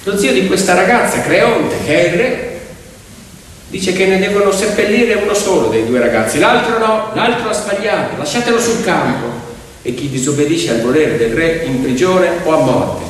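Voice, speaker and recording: Male stage storyteller, fairly high voice, dramatic delivery, very reverberant hall with music behind. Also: lively intonation. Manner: dramatic, emphatic, with pauses. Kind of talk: theatrical storytelling to piano accompaniment. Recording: echoey hall, distant mic. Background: piano music.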